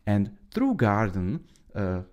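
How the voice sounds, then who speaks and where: This Czech-accented man in his thirties talks through his on-screen notes in English, his second language, pausing often on fillers and restarting sentences. His voice is mellow and warm in tone, and his pitch rises and falls in a lively way. He sounds close to a webcam mic. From Czech Republic. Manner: halting, many fillers and restarts. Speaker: trainer. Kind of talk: screen-share tutorial.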